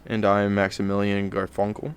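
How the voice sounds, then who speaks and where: A man's voice: on the low side, monotone, a little fast, noisy background. A man co-hosting a podcast in casual chat, tossing in silly, absurd jokes. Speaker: podcast co-host.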